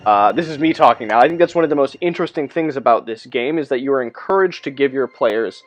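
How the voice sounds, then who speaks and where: Male game master, medium-pitched, measured storyteller read of tabletop game material with commentary, clear music bed underneath. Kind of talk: reading aloud scripted game text with commentary. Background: music behind the voice.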